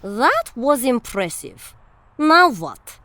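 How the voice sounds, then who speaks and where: A woman as an expressive teenage girl. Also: sits high, takes it slowly, heavy background noise, full character voice. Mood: curious. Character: earnest, curious teenage Russian mutant girl. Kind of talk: audio drama acting.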